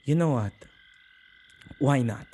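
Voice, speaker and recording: A man playing an earnest, tender male witch who protects ghosts, speaking softly and intimately in an ASMR roleplay. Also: mid-range voice, takes it slowly, husky. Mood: tender, calm. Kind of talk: ASMR boyfriend roleplay acting.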